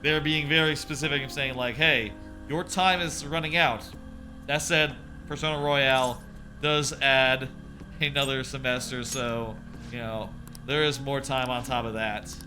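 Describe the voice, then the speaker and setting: A man's voice: medium pitch, fairly even intonation, unhurried. A man from the United States giving casual, sweary video game commentary as a streamer, often repeating himself. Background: game music.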